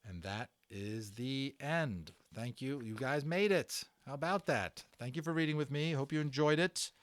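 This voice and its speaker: A man's voice: mid-range voice, moderate pace. A man narrating a storybook aloud as a dramatic reader. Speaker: audiobook narrator.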